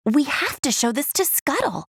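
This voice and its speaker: A woman's voice: sits high, sing-song, moderate pace, fairly bright tone, no room sound, studio quality. A woman voicing an eager, impulsive young mermaid princess in a storybook, youthful and dramatic. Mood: excited.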